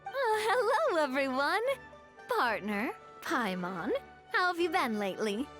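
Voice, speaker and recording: A woman's voice: high voice, very animated, a little slow, a bit bright, faint music behind, heavily acted. A woman playing a cheerful, gracious young lady leader in a video game, friendly, polite and poised. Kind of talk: video game character acting.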